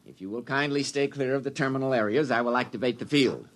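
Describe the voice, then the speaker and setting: An actor playing a formal, precise scientist demonstrating equipment in a 1950s radio drama. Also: male voice, medium pitch, fast talker, lightly acted. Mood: formal, brisk. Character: formal male scientist giving a laboratory demonstration. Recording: very noisy old radio recording, animal sounds.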